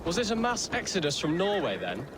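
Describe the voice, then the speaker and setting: A man playing a young, polite and curious nobleman in a video game, gentle, courteous and inquisitive. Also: high-pitched, huge pitch swings, a little fast, background noise.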